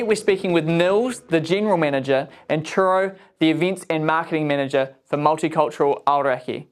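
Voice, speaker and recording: A New man hosting, reading a scripted intro and asking interview questions in an upbeat, encouraging way. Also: fairly high pitch. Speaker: host.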